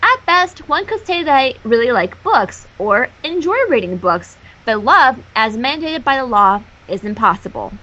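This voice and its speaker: A woman's voice: a little high, very animated, a little slow, hardly any pauses, sharp tone, highs cut off, full character voice. A woman voicing a bookish, exasperated young female unicorn with a dramatic, lecturing manner in a fan fiction reading.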